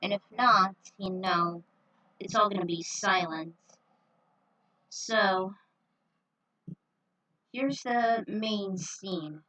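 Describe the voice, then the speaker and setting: A woman's voice: medium pitch, extremely slow, long pauses, bright, forceful tone, no room sound, low-quality recording. A woman hosting with casual commentary and reading a story she has written out. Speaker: host and fan fiction reader.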